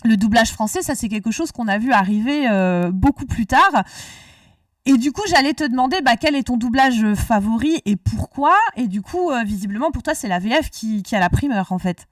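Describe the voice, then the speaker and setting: A woman's voice: fairly high pitch, animated, normal speed, no room sound, far from the mic. A woman hosting a casual discussion, talkative and rambling, often restarting her sentences. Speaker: podcast host.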